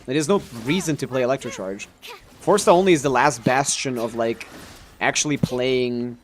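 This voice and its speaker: A man's voice: mid-range voice, moderate pace, slight background noise. A man doing casual live game-stream commentary in a rambling, mildly jaded way, often restarting his thoughts.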